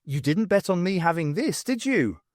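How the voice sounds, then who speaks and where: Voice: mid-range voice, very animated, normal speed, darkish tone, no room sound, studio quality, voice pushed up, natural voice. A reader playing a sardonic woman adventurer. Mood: defiant. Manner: sarcastic, exclamatory.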